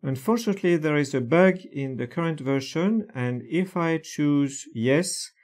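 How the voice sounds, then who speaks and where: A man calmly walking through software steps one by one in a screen-recorded tutorial, with frequent hesitations. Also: fairly high pitch. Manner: slow, methodical step-by-step explanation with frequent fillers.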